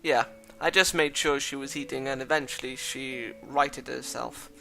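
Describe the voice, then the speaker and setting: A man voicing a soft-spoken, polite young woman. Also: medium pitch, fairly even intonation, creaky, soft background music, rough recording. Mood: gentle. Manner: soft, courteous, measured.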